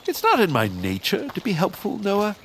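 Haughty greedy land baron, swooping delivery. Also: male voice, sits high, wildly swooping pitch, normal speed, slight background noise, exaggerated voice. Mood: haughty, dismissive. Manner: curt, disdainful. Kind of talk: audio drama character acting.

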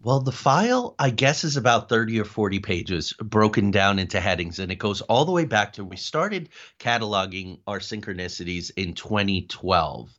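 A middle-aged man with a fairly low voice speaks as an interview guest. He explains and tells anecdotes in an animated, conversational way. The audio is somewhat dull and lo-fi. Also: normal speed, few pauses. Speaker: interview guest, paranormal investigator and writer.

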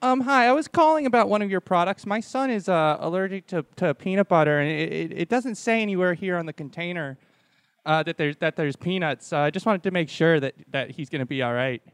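A man's voice: sits high, expressive, clear, resonant tone, no room sound, clean studio sound. A man playing a naive, worried father on a prank call, hesitant and stammering. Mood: hesitant, confused. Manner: hesitant, stammering, slow on the uptake.

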